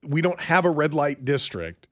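A man's voice: a little high, big pitch swings, moderate pace, fairly bright tone. An American man co-hosting a radio show in casual banter, telling stories in a wry, rambling way.

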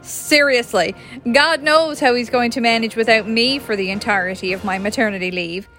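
A woman's voice: a little high, normal speed, sharp tone, soft background music. A woman voicing a sardonic, exasperated young woman in a story reading. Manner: wry, exasperated. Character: sardonic pregnant young woman, fed up with work.

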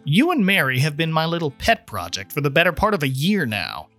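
A man's voice: on the high side, big pitch swings, a little fast, a bit bright, faint music behind. A man voicing an earnest, impatient trainee guardian angel who explains things with mild condescension in a storybook reading. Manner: explanatory, mildly condescending toward humans.

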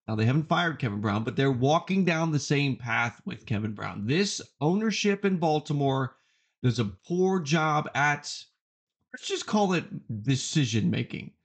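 A man hosting a sports podcast, giving opinionated commentary in an emphatic way and repeating phrases for effect. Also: on the high side. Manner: emphatic, deliberate repetition for effect.

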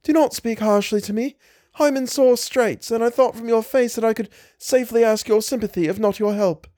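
A distressed young lady of genteel manners, as a male reader puts her on, pleading in a low, murmuring voice raised well above his own. Her speech is formal and ornate, in a dry, close recording. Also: normal speed, warm tone, dry recording. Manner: formal, ornate Victorian diction.

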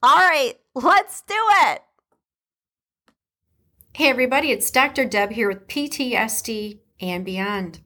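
A woman's voice: a little high, a little slow, long pauses. A middle-aged woman from Detroit with a Midwest accent, hosting her podcast in a chatty, emphatic way. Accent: Detroit Midwest American. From Detroit, USA. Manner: warm, chatty, emphatic, with laughs and shout-outs. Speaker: podcast host. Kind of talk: casual solo podcast talk.

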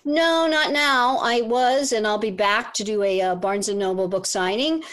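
A woman relationship coach and author, explaining her views as a guest in a measured way with fillers. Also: a little high, fairly bright tone. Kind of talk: interview guest.

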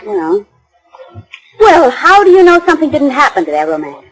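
A woman's voice: high-pitched, huge pitch swings, normal speed, soft background music, rough recording. A woman with an American accent playing a playful, eager detective's sidekick in a 1940s radio drama. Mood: questioning, eager. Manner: chatty, teasing, eager.

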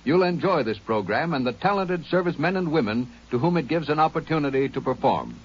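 A man reading network promotions in a smooth, persuasive 1950s announcing style. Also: a little high, animated, a little fast. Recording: muffled old radio transcription.

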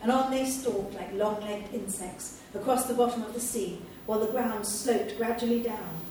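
A woman reading a children's storybook aloud at a live event, in a steady, clear storytelling manner. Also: a little high, moderate pace, a little hiss or hum. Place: large hall at a live event. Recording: echoey hall from far off.

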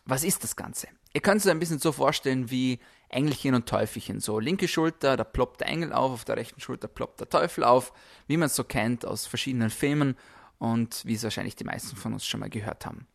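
A man's voice: medium pitch, a little fast, creaky. A man delivering a motivational podcast monologue as host, emphatic, with rhetorical repetition. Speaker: podcast host, health coach.

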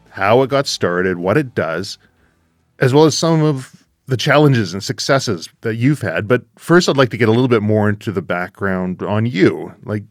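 A man's voice: on the low side, dry recording, studio quality. A man speaking as a podcast host, in introduction and interview style.

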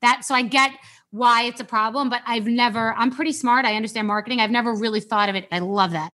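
A woman hosting an interview in a casual, upbeat way, with quick interjections. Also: fairly high pitch, steady tone, pushed and bright. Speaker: podcast host.

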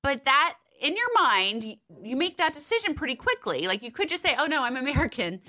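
A woman co-hosting a casual chat show, talking in an animated way and laughing often. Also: high voice, brisk. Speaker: podcast co-host.

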